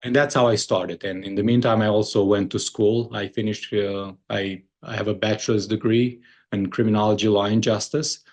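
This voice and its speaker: A middle-aged man from Romania with a Romanian accent, speaking earnestly as an interview guest, often pausing on "uh" and restarting his sentences. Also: fairly low pitch, steady tone. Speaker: guest, moving company founder. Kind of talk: conversational interview.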